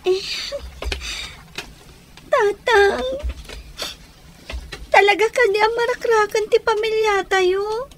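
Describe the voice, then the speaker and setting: Sorrowful little Ilocano kid, piping voice, unhurried, plaintive, for radio serial. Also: female voice, very high voice, fairly even intonation, slight background noise. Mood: sad, plaintive. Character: sad young child pleading with father. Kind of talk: radio drama acting.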